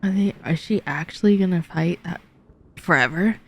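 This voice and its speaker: A woman's voice: lowish voice, normal speed. A woman streaming a game, giving casual, reactive commentary in short asides. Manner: reactive, short asides, sometimes reads game text aloud. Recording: game music behind the voice.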